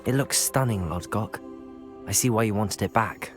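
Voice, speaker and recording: A man's voice: fairly low pitch, moderate pace, lightly acted. An actor playing an earnest, polite young male wizard student in a video game cutscene. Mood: respectful. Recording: music under the voice. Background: music.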